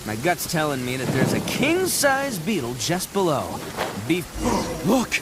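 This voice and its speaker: A young man voicing a brash, goofy oni who brags loudly in a folksy way and laughs heartily. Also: fairly high pitch, wildly swooping pitch, a little slow, heavy background noise. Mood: boastful. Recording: game soundtrack with music behind. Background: music.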